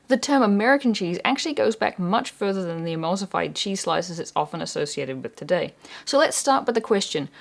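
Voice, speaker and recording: Young woman, New Zealand accent, mid pitch, scripted documentary host, calm and inquisitive delivery. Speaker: history channel host. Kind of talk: scripted educational narration.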